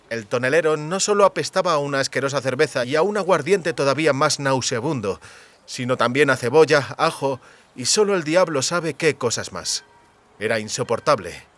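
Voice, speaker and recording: A man's voice: medium pitch, a bit bright. A man narrating a fantasy novel as a scripted literary audiobook.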